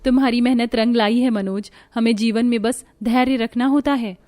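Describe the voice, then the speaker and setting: A woman's voice: a little high, steady tone, moderate pace, no room sound, close to an everyday voice. A woman voicing a humble, patient young wife and loyal friend in a moral cartoon story. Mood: grateful. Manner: gentle, contented, earnest.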